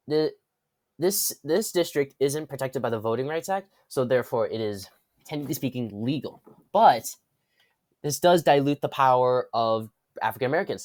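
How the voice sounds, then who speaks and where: A man with a stuffy, congested voice talks through political commentary at an ordinary middling pitch. He rambles, breaks off mid-phrase to fix himself, and slips in dry, sarcastic remarks. The sound is dead and close, with no room around it. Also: a little mellow, no echo. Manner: rambling, with frequent restarts and self-corrections. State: congested from a cold. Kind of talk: casual explainer commentary.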